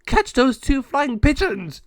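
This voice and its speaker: A man voicing a goofy, cowardly male gargoyle henchman, very high, loud and squawky. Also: very animated, slow, dry recording, studio quality, full character voice. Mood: excitable.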